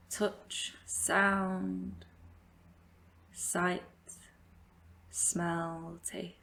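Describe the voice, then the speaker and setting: A woman leading a guided meditation as a yoga teacher, giving gentle, invitational instructions with long pauses. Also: fairly low pitch, vocal fry.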